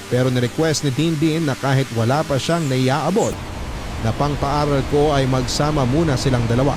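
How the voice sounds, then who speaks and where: A man's voice: mid-range voice, close to an everyday voice. A man playing a troubled young working son who earnestly recounts his family's story in first person, with a Filipino accent. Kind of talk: first-person dramatized narration.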